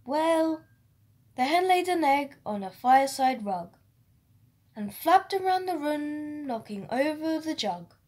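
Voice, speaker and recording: A woman storyteller narrating a rhyming children's story aloud in an expressive read-aloud style. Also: high voice, slow.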